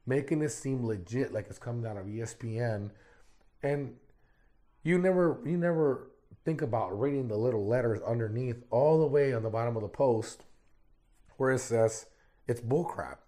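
Male podcast host, warm dark tone, rambling solo talk, voice still recovering. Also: medium pitch. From Arizona, United States. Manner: rambling, repeats words often. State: recovering from lost voice.

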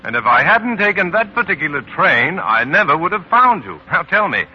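Brisk, bewildered gentleman, mid-range voice with lively inflection, vintage radio play, muffled sound. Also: fairly high pitch. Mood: amazed, delighted. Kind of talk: 1940s radio acting. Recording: muffled, hissy 1940s radio broadcast.

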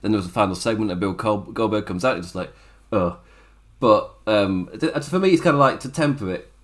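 A man with a strained, raspy voice hosting a casual wrestling review podcast, rambling with frequent chuckles and self-corrections. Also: fairly low pitch. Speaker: wrestling show host.

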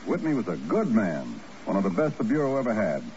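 An actor playing a skeptical, plain-spoken intelligence chief with a brisk manner, in 1950s radio drama style. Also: male voice, lowish voice, big pitch swings, darkish tone. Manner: brisk, doubtful. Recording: muffled, noisy old radio recording.

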